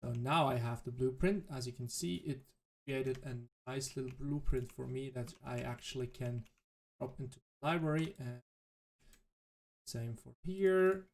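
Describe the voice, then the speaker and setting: A man whose native language is German, thinking aloud in halting, self-correcting commentary as he plays a game. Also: mid-range voice. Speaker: let's play host. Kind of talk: casual gameplay commentary.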